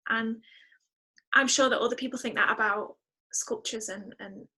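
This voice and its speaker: A woman's voice: fairly high pitch, normal speed, sharp tone, breathy edge. A woman talking casually as an interview guest, reflective and enthusiastic as she thinks through her answers.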